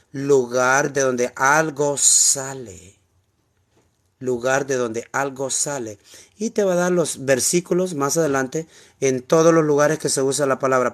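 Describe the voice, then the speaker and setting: A man with a Honduran Spanish accent teaching the Bible in an informal, explanatory way, repeating key words and asking rhetorical questions. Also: mid-range voice. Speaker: preacher teaching scripture.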